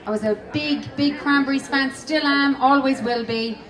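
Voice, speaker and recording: A woman's voice: sits high, moderate pace. A woman from Dublin with an Irish accent, chatting to the crowd from an outdoor amphitheater stage before her song. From Dublin, Ireland. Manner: hypes up the crowd. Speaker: open mic performer. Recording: distant echoey live recording. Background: loud crowd and guitar music.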